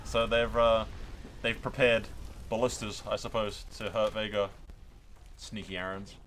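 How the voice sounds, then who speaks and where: A man's voice: on the low side, flat delivery, unhurried, fairly bright tone, slight background noise, low-quality recording. A man giving casual, rambling, irreverent commentary as he plays through a game, swearing freely. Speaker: gaming video host.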